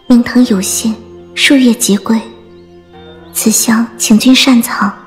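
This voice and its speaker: High female voice, acted, composed young martial-arts lady, hushed, very slow, audiobook drama. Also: fairly even intonation. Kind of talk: Chinese audiobook drama acting. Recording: soft background music under the voice.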